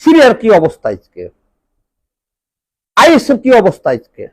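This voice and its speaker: A Bangladeshi man speaks as an impassioned political commentator in a high-pitched voice. His intonation swings widely as he scolds and drives points home with rhetorical questions. The recording is dry and close, with very little room sound. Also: wildly swooping pitch, moderate pace, dry recording.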